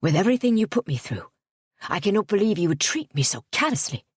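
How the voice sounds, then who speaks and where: A woman voicing a pompous, obsessive gentleman suitor in a storybook reading, speaking in a haughty, clipped way. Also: on the high side, moderate pace, husky, dry recording, clean studio sound. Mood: indignant.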